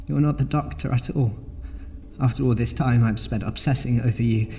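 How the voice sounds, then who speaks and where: A man's voice: medium pitch, fairly even intonation, normal speed, warm tone, slight background noise, natural voice. An actor playing an obsessive, wounded young man in an audio drama, pleading and faltering with emotion. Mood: quiet delusion. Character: obsessive, needy, wounded young male hanger-on. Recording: long echoing reverb, music behind.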